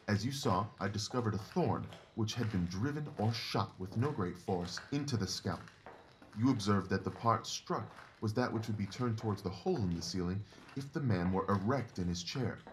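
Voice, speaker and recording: A man's voice: fairly low pitch, animated, moderate pace, slight background noise, close to an everyday voice, rough recording. A man playing a confident, analytical Victorian detective in an audio drama, assured, precise and didactic in his talk.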